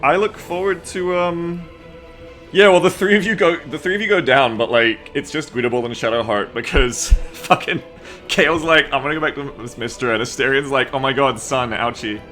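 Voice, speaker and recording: A man's voice: mid-range voice, normal speed, pushed and bright. A man streaming games, chatting casually and jokingly, swearing freely. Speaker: game streamer. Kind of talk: casual stream chat about a game. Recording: music behind the voice. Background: music.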